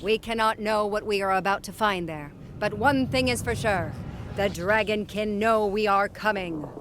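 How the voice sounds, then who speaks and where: A woman's voice: mid-range voice, normal speed, background noise, lightly acted. An actress playing an earnest, devout woman soldier in a fantasy game, speaking formally and with urgency. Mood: grave warning. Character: earnest, devout female soldier leading a unit. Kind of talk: scripted fantasy game cutscene acting.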